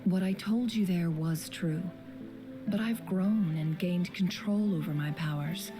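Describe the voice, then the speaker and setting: A woman playing a serene, wise and wistful young woman in a video game, with soft, breathy, measured poetic delivery. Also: on the low side, music in the background, natural voice. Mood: calm, tender. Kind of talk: video game story dialogue and narration.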